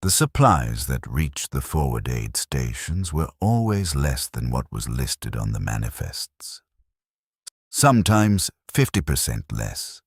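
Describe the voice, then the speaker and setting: Very deep-voiced man narrating a story. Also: big pitch swings, husky, dry recording, clean studio sound. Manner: measured, solemn storytelling in short deliberate sentences. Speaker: narrator of a fictional first-person war account. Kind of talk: scripted cinematic story narration.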